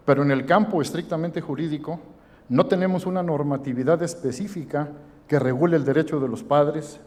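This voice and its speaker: A man reading a prepared formal speech and introducing the next speaker, measured and formal. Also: a little high, darkish tone. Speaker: event presenter. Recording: reverberant hall.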